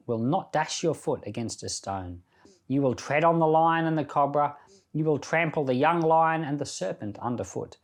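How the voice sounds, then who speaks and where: Man giving conversational Bible commentary. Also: on the high side. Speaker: pastor and podcast host. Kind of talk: Bible reading and informal devotional commentary.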